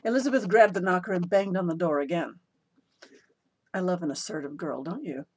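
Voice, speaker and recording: A woman reading a picture book aloud as a storyteller, expressive, with a playful, chatty way of addressing the listener. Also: fairly low pitch, brisk, husky. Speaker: children's story reader.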